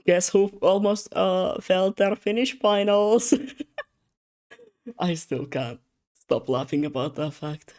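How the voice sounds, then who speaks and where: A young adult streamer chats loosely on a streaming mic while drawing commissions. The voice sits at a middle pitch and sounds tired, with words slurring a little. The talk rambles and turns self-mocking, broken by frequent laughs. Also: slow pace, long pauses, a little mellow. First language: Russian. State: tired, slightly slurred speech. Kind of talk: casual live-stream chat.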